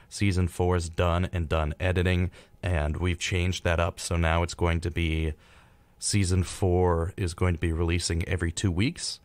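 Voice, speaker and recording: Very deep-voiced young American man. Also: no echo. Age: young adult. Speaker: college radio co-host. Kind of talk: casual live radio chat. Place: college radio studio.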